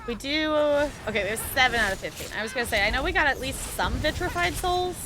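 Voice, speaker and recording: A woman's voice: high voice, animated, fast talker, background noise. A woman streamer chatting casually while she plays, laughing often and talking to the game. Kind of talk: casual chat while gaming.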